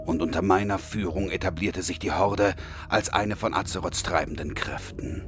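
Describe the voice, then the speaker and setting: A man's voice: sits low, steady tone, normal speed, music in the background, close to an everyday voice. A man playing a mighty orc shaman in a parody audio drama, solemn, pompous and aggrieved. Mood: solemn, proud.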